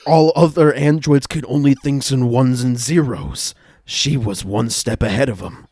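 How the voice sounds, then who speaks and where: A man voicing a gentle, earnest robot who is sheepish and easily defensive, in improvised comedy. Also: medium pitch, a little slow, husky, dry recording. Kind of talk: improvised comedy table read.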